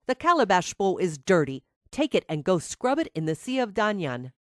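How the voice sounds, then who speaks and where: Woman acting a stern, bossy stepmother, animated. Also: mid-range voice, moderate pace, dry recording, studio quality, lightly acted. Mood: angry. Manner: stern, commanding.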